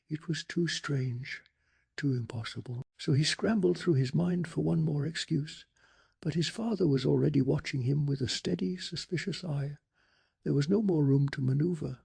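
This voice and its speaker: A man narrating a story in a calm, measured, gentle soft-spoken ASMR style. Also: fairly high pitch, creaky, slightly rough. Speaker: narrator of a bedtime story channel.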